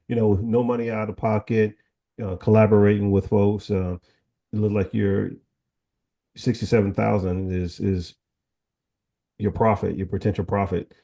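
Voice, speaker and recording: A man's voice: low voice, dark tone. A man hosting a casual online interview, encouraging and chatty, with lots of "you know" fillers. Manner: encouraging, rambling, filler-heavy. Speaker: host.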